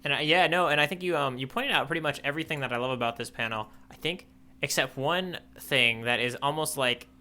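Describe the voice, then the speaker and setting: A podcast co-host with a bright, forceful voice pitched right in the middle of a man's range. He talks in a loose, rambling way, circling back to find his words as his enthusiasm carries him along. Nothing about his delivery feels scripted. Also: brisk. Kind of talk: casual manga discussion chat.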